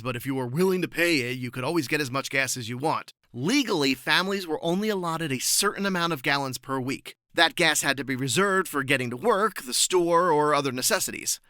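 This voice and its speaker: A man giving prepared, articulate answers as an interview guest, informative and measured in his phrasing. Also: a little high, bright, forceful tone, dry recording, studio quality.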